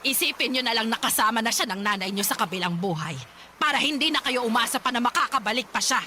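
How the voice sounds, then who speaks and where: A woman's voice: a little high, expressive, quick pace, bright, forceful tone, a little hiss or hum. An actress playing a stern, weary old grandmother in a radio drama, speaking bluntly. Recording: music playing behind.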